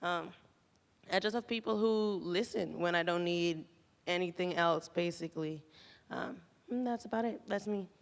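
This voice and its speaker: A woman's voice: on the low side, normal speed, vocal fry. A woman from the Bahamas giving a casual testimonial to an audience in a chatty, informal storytelling way. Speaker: programme participant giving a testimonial. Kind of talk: casual, anecdotal public testimonial. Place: large echoey room at a graduation event. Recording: echoey hall, long reverb.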